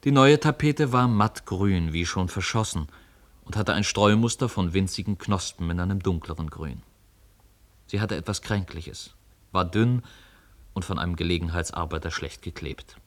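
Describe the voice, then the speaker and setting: A man narrating a literary radio play in a measured storytelling manner. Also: sits low.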